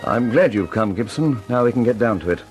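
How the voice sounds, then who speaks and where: A man's voice: lowish voice, lively intonation, a little fast, loud music behind the voice, natural voice. An actor playing a fussy, anxious older male bomb inventor in a 1940s radio drama. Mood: fretful.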